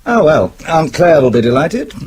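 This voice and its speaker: An actor playing a dry, wry, unflappable husband with an arch, ironic manner in a radio drama. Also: male voice, on the high side, big pitch swings, normal speed. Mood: wry amusement. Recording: noisy old radio drama recording.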